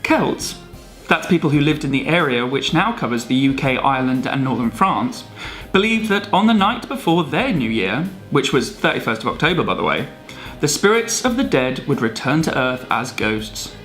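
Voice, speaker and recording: A man's voice: mid-range voice, very animated, moderate pace, a bit bright, faint music behind. A man co-presenting a scripted explainer with comic banter, in a jokey, theatrical manner. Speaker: video co-presenter.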